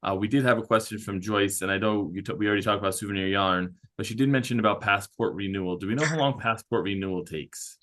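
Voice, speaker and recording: A man moderating a travel webinar, briskly prompting the others with questions. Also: fairly low pitch, steady tone, fairly bright tone.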